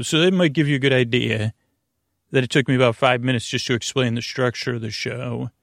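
A man hosting a sleep podcast in a meandering, halting bedtime monologue, full of restarts and whimsical, punning tangents, with a gravelly voice. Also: medium pitch, no echo, clean studio sound. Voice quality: creaky, gravelly. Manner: whimsical, punning, silly asides.

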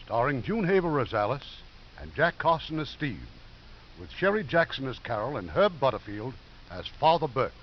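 A man's voice: medium pitch, very animated, moderate pace, background noise. A man announcing a radio drama in a theatrical, scripted 1950s introduction style. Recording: dull old radio recording. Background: loud music.

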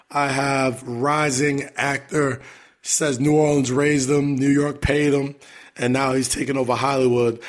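A man hosting his podcast with a raspy, hoarse voice, talking loosely and swearing casually. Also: mid-range voice. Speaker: podcast host.

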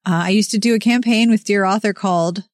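A woman hosts a podcast conversation in a mid-range voice, picked up dry and close. She is playful and quick with jokes and animated asides. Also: medium pitch, dry recording. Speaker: podcast host. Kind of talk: casual interview chat.

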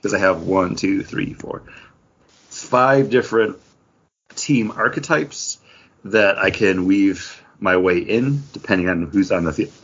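A man's voice: low-pitched, a little slow, a bit bright, husky. A man hosting a podcast in a casual, conversational way. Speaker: podcast host. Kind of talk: casual chat about comic books. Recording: dull, lo-fi podcast audio.

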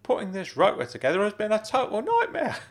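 A man voicing a kindly, fussy older uncle in an audiobook story, earnest and excitable. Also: medium pitch, quick pace, voice pushed up. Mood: cheerful joking. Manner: excitable, earnest, swooping delivery. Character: kindly older uncle, fussy, caring, fond of dad jokes.

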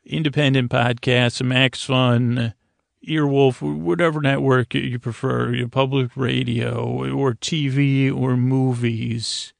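Male sleep-podcast host: gravelly creak, meandering bedtime monologue, halting delivery, close dry sound. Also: mid-range voice, steady tone, no room sound. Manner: hesitant, meandering, repeats words and trails off. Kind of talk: rambling bedtime monologue to help listeners sleep.

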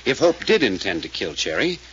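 An actor playing a shrewd, commanding detective who questions insistently in a clipped manner, in 1940s radio drama style. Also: male voice, lowish voice, sing-song, a little fast, fairly bright tone, husky, full character voice. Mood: challenging. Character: commanding, shrewd male detective, relentless interrogator. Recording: muffled old radio recording.

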